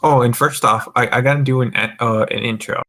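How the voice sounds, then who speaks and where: A man's voice: medium pitch, fairly even intonation, fairly quick, bright, forceful tone. A young man from the American Mountain Time zone hosting a group voice call, tipsy, rambling and swearing, stumbling over his words. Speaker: voice call host. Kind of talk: casual group voice chat.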